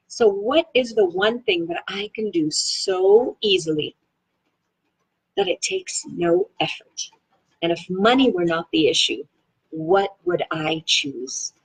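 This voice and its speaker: A woman reads aloud in a lively voice that moves freely up and down in pitch. Her tone has a slightly husky grain and sits at a medium height. She is enthusiastic and expressive, shifting between reading and casual, chatty commentary. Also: rough recording. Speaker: reader of a self-help book read-along.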